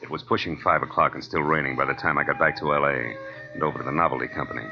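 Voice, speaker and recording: An American man playing a wry, blunt, hard-boiled private detective in 1940s radio drama style, with clipped deadpan delivery. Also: a bass voice, rapid-fire, vocal fry. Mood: wry narration. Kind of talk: 1940s radio drama acting, first-person noir narration. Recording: dull old-time radio recording with music.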